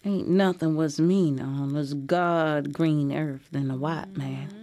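A woman's voice: low-pitched, steady tone, slow, mellow, dry recording. A woman voicing an elderly, formerly enslaved Southern grandmother, sorrowfully remembering in weary dialect storytelling. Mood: bitter, grave.

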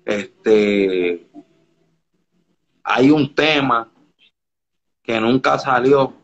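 A man talks easily as a guest in a casual interview, often checking that the listener follows along. His voice sits at an ordinary pitch for a man. The recording sounds dull and lo-fi. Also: a bit bright. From Dominican Republic. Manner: laid-back, keeps asking "you get me?". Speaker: music producer guest.

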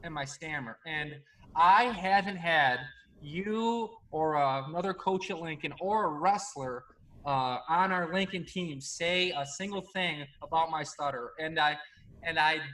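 A man's voice: fairly high pitch, unhurried, fairly bright tone, poor audio. A young man in his twenties who stutters, speaking hesitantly with many fillers as he hosts a casual chat. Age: 20s. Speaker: podcast host, assistant coach. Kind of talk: casual conversation.

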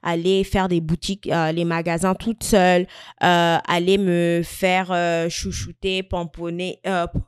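Reflective young woman, smooth clear voice. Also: lowish voice, flat delivery, unhurried, no echo, clean studio sound. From Equatorial Guinea. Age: 20s. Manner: thoughtful, searching, many fillers and restarts. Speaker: vlogger. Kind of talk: reflective personal talk to camera.

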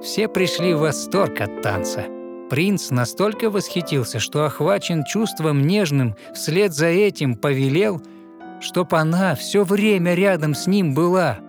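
A man narrating a fairy tale in verse, with a lyrical, rhythmic and expressive scripted delivery. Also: a little high, unhurried, music in the background.

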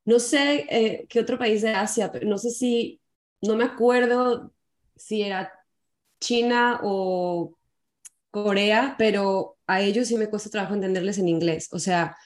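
A woman hosts a relaxed conversation. She pauses often and lets her sentences trail off with fillers. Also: mid-range voice. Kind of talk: casual chat.

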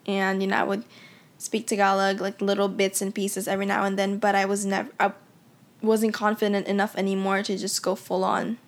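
A woman's voice: medium pitch, monotone, normal speed. A young woman who grew up in the Philippines, reflecting in a casual, conversational way with frequent fillers as she tells her own story. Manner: reflective, conversational, many "you know" fillers. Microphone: audio only, unseen.

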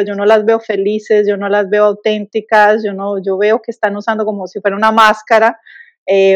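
A woman's voice: medium pitch, no room sound, highs cut off. A middle-aged woman from Colombia with a Colombian accent, telling her story as a podcast guest, thoughtful with many restarts and fillers.

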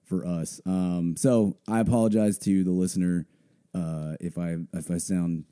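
A young man hosting a casual sports podcast, hoarse from a blown-out voice, rambling with many fillers. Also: sits low, steady tone, measured pace, dark tone. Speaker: podcast host.

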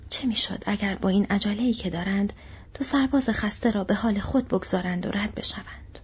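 A woman voicing a steady, resigned male hobbit companion speaking in a calm hush, with a husky voice. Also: on the high side, muffled recording, pitched above the performer's own voice.

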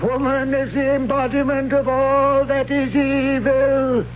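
A stern old high priest in a radio play. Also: male voice, level tone, slow. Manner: stern and instructive, reciting ritual. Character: stern old male high priest drilling his son. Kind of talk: 1970s radio drama acting. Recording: dull old radio broadcast with faint hum.

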